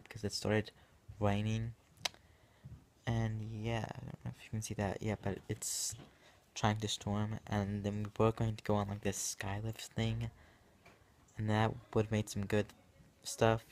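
Flat, low-voiced young male vlogger. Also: slight background noise. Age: teens to young adult. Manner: rambling, hesitant, peppered with "like". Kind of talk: casual vlog chat to camera. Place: small rooms indoors at home. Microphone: phone at arm's length.

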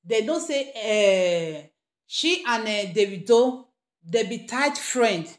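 A woman's voice: a little high, lively intonation, slow pace. A woman with a Nigerian accent giving informal gossip commentary, addressing her listeners directly and emphatically. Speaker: gossip vlogger. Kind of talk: informal news commentary in Pidgin. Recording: roomy, echoing room sound.

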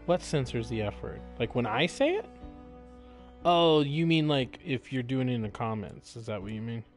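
A man giving casual live gameplay commentary, musing aloud in a jokey, drawn-out way. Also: medium pitch, sing-song, faint music behind. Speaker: game streamer.

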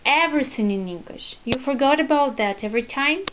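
High, animated woman reading to a child. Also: a little fast, background noise. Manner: expressive, instructive, prompting word by word. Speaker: mother reading with her young child. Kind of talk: reading a picture book aloud to a child, with prompting questions. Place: at a wooden table indoors at home.

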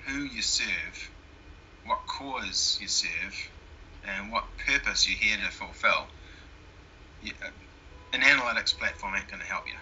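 A man's voice: on the low side, pushed and bright, slight background noise. A man with a New Zealand accent, a guest talking casually in an interview. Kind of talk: conversational interview. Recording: dull lo-fi sound.

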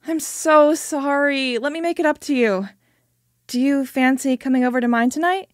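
Woman acting Maya, very high-voiced breezy friend. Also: moderate pace, no echo, clean studio sound, natural voice. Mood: concerned. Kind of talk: amateur audio drama acting.

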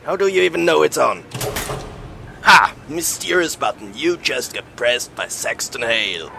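Macho cartoon boss from Australia: brash, bombastic, low, gravelly, forceful. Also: male voice, animated, measured pace, a little hiss or hum, full character voice. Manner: bombastic shouting boasts.

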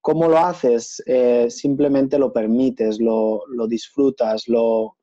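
Man, 30s, calm host with a mellow voice, unhurried and hesitant, muffled video-call sound. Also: medium pitch. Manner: relaxed, thoughtful, many hesitations. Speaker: host and certified facilitator. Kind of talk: casual video call interview. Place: small room at home. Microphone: earphone headset on video call. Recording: dull lo-fi video call audio.

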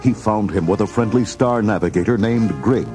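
A man narrating a scripted children's read-along story in a dramatic, clearly phrased way. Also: on the low side, normal speed, over music.

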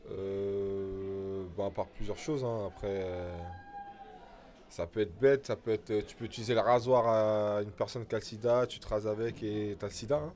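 A man's voice: lowish voice, fairly even intonation, normal speed, darkish tone. A young man answering a vox pop in a hallway, casual and plainspoken, into a handheld mic. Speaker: passer-by interviewed. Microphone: handheld mic held by interviewer.

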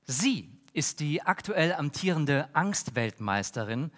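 A man in his thirties playing an earnest, polite talk-show host with a formal, measured manner. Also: on the high side, big pitch swings. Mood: welcoming. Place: large brick-walled indoor stage. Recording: reverberant theatre hall.

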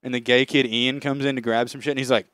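A man's voice: mid-range voice, flat delivery, fast talker, a bit bright, smooth, no echo. Young man chatting in a dry-sounding studio. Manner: crude, swearing anecdote-teller. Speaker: podcast co-host. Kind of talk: casual comedic podcast chat.

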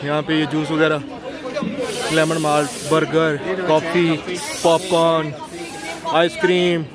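A man's voice: fairly high pitch, monotone, slow. A man, walking outdoors and chatting casually as he points out shops and food. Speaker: travel vlogger. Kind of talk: casual walking tour vlog. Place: busy hill-town street outdoors. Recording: crowd noise around the speaker. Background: crowd of passers-by.

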